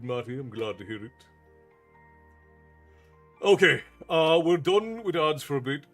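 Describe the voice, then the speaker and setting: Brief: man in his forties, Americanized Southern Irish accent, folksy stream host with faint music behind. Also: medium pitch. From Ireland. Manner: folksy, thanks chat by name, calls viewers "love". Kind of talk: casual livestream chat.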